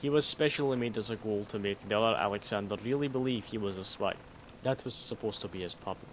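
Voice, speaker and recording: Secretive synth spy for a game mod, played by a man: lowish voice, measured, guarded, noisy track. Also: lightly acted. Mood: guarded, explanatory. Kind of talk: scripted game mod dialogue. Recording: noticeable background noise.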